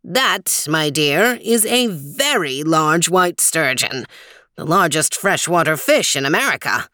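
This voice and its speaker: A woman voicing a cheerful seventy-two-year-old male inventor who lectures eagerly from a screen, slow and dry. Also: low voice, lively intonation, sharp tone, no room sound, exaggerated voice. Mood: explaining. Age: older.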